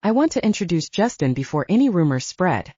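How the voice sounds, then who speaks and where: A woman's voice: fairly low pitch, big pitch swings, brisk, dark tone, slightly rough, no room sound, muffled recording. A woman voicing a stern, proud male alpha werewolf with a blunt, commanding manner. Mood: firm, warning.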